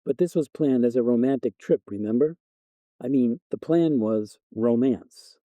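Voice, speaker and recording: A man's voice: medium pitch, a little slow, dry recording, phone-quality sound, close to an everyday voice. A man playing a bickering fiancé of about thirty, sarcastic yet apologetic, in a story reading. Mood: defensive. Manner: defensive, sarcastic, placating. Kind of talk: storybook dialogue narration.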